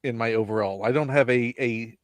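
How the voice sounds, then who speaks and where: A man hosting a casual live chat, crisp voiced and joking with his guest. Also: brisk, no room sound. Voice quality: crisp, slightly high voice. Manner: playful, jokey. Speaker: host.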